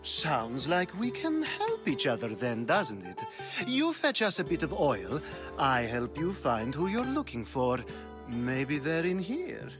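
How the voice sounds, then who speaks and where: An actor playing a flamboyant, lecherous aristocratic reveler in a video game, with a teasing, languid, theatrical manner. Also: male voice, a little high, wildly swooping pitch, slow, music in the background, exaggerated voice. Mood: flirtatious. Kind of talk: video game cutscene acting.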